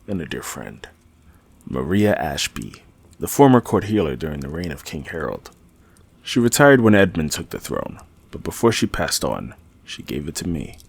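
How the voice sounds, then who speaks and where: A man playing a learned, guarded older mage in a fantasy audio drama, speaking formally and deliberately in a gravelly, husky voice. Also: rumbling low, no room sound, exaggerated voice. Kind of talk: fantasy audio roleplay acting.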